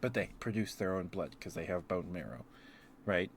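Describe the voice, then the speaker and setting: A man's voice: sits low, expressive, moderate pace, a little hiss or hum. A man streaming casual, rambling ASMR chat, musing aloud hesitantly and letting thoughts trail off.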